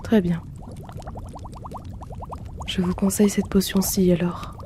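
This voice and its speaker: A mellow, soft-spoken herbalist acted by a man who keeps a potion shop. He speaks in a very even, unhurried tone, polite and gently teasing, as in an ASMR roleplay, with faint noise behind him. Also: high-pitched. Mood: gentle, attentive. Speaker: roleplay audio performer.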